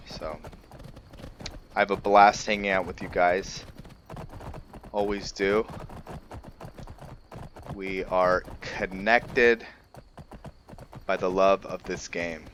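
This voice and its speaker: A man's voice: low voice, a bit bright, a little hiss or hum. A man giving casual, rambling gameplay commentary, chatting informally to his viewers as the host. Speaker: gaming video host.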